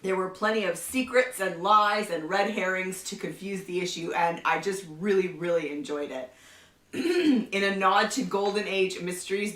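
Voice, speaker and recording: A woman with a cold hosting a casual book review, chatty, often laughing and clearing her throat. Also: fairly low pitch, a bit bright. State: has a cold. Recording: roomy echo, somewhat far from the mic.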